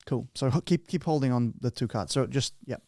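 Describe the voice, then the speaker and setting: A man hosts a podcast in a loose, playful way, tripping over and restarting his sentences as he talks. His voice sits at an ordinary middle pitch. The sound is dry and close to the mic. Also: brisk, gravelly, mellow, no room sound. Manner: chatty, playful, with many restarts. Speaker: podcast host. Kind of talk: casual podcast chat.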